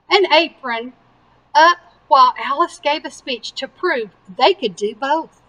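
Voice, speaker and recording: A woman reading a picture book aloud to young children with animated emphasis and simple explanatory asides. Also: high-pitched, poor audio. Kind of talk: slow, expressive children's read-aloud.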